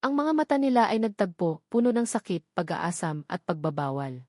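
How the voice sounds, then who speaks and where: A woman's voice: lowish voice, sing-song, brisk, darkish tone, no room sound, studio quality. A woman narrating a romantic drama in an emotive storytelling manner.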